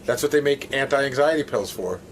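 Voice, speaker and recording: A man's voice: medium pitch, expressive, brisk. A man giving casual, speculative interview answers, explaining things as he goes. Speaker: interviewed show staffer. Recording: faint background room noise.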